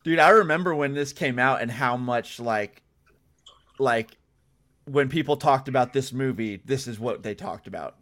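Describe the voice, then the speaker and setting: Easygoing informal man, medium pitch. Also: moderate pace, a bit bright. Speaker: podcast panelist. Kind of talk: casual chat.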